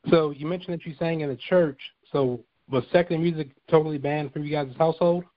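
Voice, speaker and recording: A man's voice: fairly high pitch, steady tone, fast talker, no room sound, lo-fi sound. A man interviewing a guest, asking questions with short, upbeat prompts.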